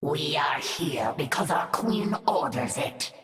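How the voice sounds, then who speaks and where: A fierce alien brood mother, haughty and loyal. Her low voice swoops slowly and formally, echoing from a distance. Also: lively intonation. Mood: scornful. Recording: echoing, distant voice over game music.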